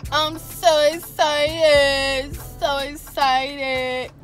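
A young American woman with a high, lively voice talks casually to her phone for a vlog. She is playful and excitable, drawing her words out at an unhurried pace. Music plays behind her. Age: young adult. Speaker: vlogger. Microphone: handheld phone at arm's length.

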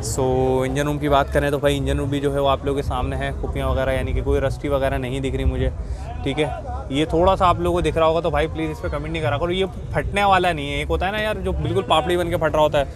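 A man's voice: a little high, level tone, fast talker. A man, hosting a car market vlog in a repetitive, explanatory patter. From Pakistan. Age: 20s. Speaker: host, car market reviewer. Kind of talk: vlog walkthrough and short interviews. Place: outdoor open car market. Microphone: phone at arm's length. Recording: busy outdoor street market chatter.

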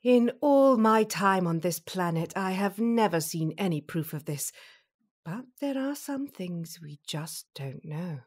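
A woman playing a calm, motherly figure in an audio drama, measured, formal and gently reassuring. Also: fairly low pitch, slightly rough. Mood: affectionate. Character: calm, composed, motherly female reptilian detective. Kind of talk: fan audio drama acting.

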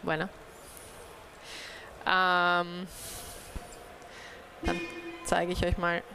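A woman's voice: fairly low pitch, monotone, extremely slow, gravelly, sharp tone, soft background music. A young woman, a native speaker, giving an informal talk to a small group about community networks, hesitant with many fillers. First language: German. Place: dark event room, on stage.

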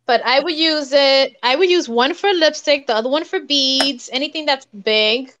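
Puerto rican lady crafting on a video call, high bright voice, chatty and laughing at herself. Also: normal speed, highs cut off, slight background noise. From Puerto Rico. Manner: chatty, self-mocking, laughs often. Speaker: craft show co-host. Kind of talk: casual chat while crafting. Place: home craft table, indoors.

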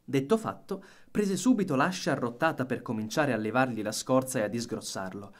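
A man reading a children's story aloud as an expressive audiobook narrator. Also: medium pitch, fairly quick.

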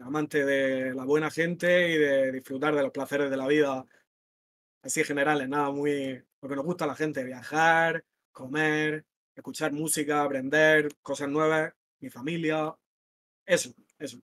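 A man's voice: on the high side, a little slow, dry recording. Murcian man, dry close sound. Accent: Murcian Spanish. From Murcia, Spain. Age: 30s. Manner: relaxed and open, calls people brother. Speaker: rapper and guest. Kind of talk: casual interview chat. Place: home room with the other guest.

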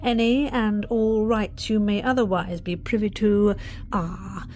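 A woman's voice: mid-range voice, steady tone, measured pace, darkish tone, natural voice. A woman playing a cold, officious corporate enforcement officer in an audio drama, speaking in a formal, curt, bureaucratic way. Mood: stern command.

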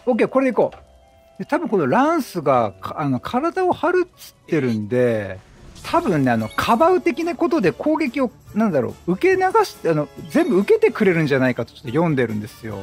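A man doing chatty game commentary, animated, talking to himself and to the characters on screen. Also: sits high, breathy edge. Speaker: gameplay commentator.

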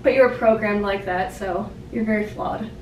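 A woman's voice: medium pitch, fairly even intonation, normal speed, slight background noise, close to an everyday voice, low-quality recording. A young woman playing an exasperated, foul-mouthed male killer in an improvised cosplay skit, indignant and sarcastic. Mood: bitter, insulting. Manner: indignant, sarcastic, heavy swearing. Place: home kitchen and dining room. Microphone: handheld camera.

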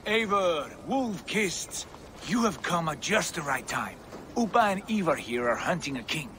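An actor playing a confident, diplomatic Viking jarl in a video game cutscene, speaking in a calm, reassuring way. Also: male voice, fairly high pitch, unhurried, noisy background. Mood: assured.

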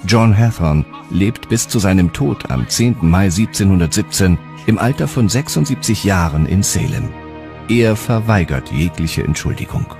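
A man's voice: low voice, expressive, moderate pace. A man delivering scripted narration for a history documentary. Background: faint background music.

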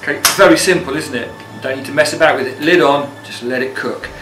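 A man's voice: mid-range voice, fast, distant mic. A middle-aged man giving a casual cooking demonstration as a chef, plain-spoken and informal, recorded indoors in a kitchen. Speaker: chef and cookery teacher. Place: indoor commercial kitchen with steel surfaces. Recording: roomy, off-mic sound with music.

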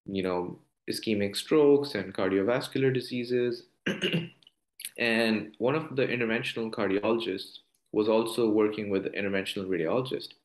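A man's voice: fairly low pitch, normal speed. A young man in his twenties with a Pakistani accent, a doctor answering interview questions on a video call, earnest and full of "you know" fillers. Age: 20s. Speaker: guest doctor. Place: small room at home. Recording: echoey room on video call.

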